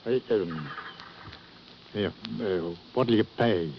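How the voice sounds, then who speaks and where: An actor playing a blunt, brusque fishing-boat captain and uncle, in 1940s radio acting. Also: male voice, fairly low pitch, slow pace. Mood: wary. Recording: dull old radio recording with hiss.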